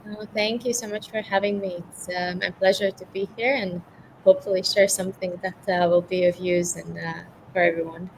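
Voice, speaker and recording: Lowish-voiced Latvian woman giving a talk. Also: fairly even intonation, brisk, low-quality recording. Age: around 30. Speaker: guest speaker, nonprofit tech professional. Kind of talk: informal career talk. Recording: faint background noise.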